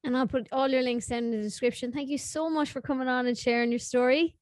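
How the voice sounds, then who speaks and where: A woman hosts an interview in a conversational style. Her voice sits a little high, and the sound is very dry, with no room echo. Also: fairly even intonation, quick pace. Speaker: podcast host.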